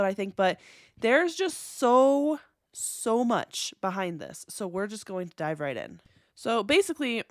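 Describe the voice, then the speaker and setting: A woman's voice: medium pitch, dry recording, clean studio sound. A young woman with a congested voice hosting a podcast, talking in a casual, skeptical way with lots of fillers. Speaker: podcast host. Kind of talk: casual conspiracy podcast narration.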